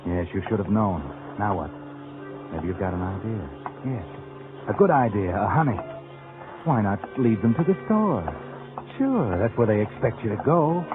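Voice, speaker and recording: A man's voice: on the low side, big pitch swings, moderate pace. A man playing a sinister, taunting narrator in a 1940s radio suspense drama, speaking insinuatingly and urgently to a fugitive. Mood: sly, scheming. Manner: insinuating, urgent second-person address. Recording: tinny old radio, loud music behind.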